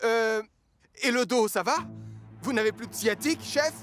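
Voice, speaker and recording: An actor playing a level-headed, caring firefighter hero in a French cartoon dub, calm and polite. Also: male voice, extremely high pitch, normal speed, faint music behind.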